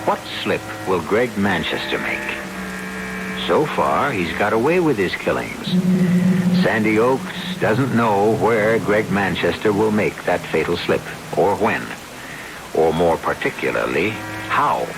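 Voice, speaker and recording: An actor playing a suave, ominous mystery host, narrating old-time radio with deliberate, theatrical pauses. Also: male voice, low voice, expressive, music in the background, exaggerated voice, poor audio. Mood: ominous, knowing.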